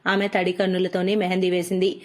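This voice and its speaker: A woman's voice: on the low side, monotone, fairly quick. A woman narrator reading a scripted moral story for children.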